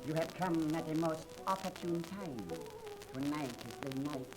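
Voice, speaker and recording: An actor playing a solemn, ceremonious seance house attendant, formal and measured, in a 1930s radio drama. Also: male voice, fairly high pitch, natural voice. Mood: solemn, hushed. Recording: noisy 1930s radio transcription.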